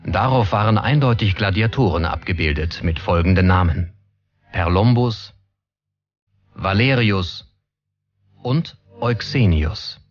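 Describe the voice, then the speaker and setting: A man narrating a documentary from a script in a measured, serious manner. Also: sits low, lively intonation, lo-fi sound. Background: background music.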